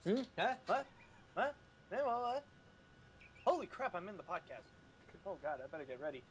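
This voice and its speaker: A man's voice: high voice, very animated, moderate pace. A man in comic podcast banter, theatrical and exclamatory as a panelist. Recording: faint background noise.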